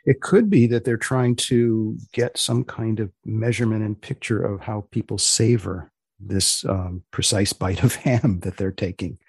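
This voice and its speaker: A man's voice: on the low side, steady tone, unhurried, a little mellow, breathy edge, no room sound. A man hosting a podcast in a dry, understated conversational way, prompting his guest with questions. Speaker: podcast host. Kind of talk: conversational interviewing.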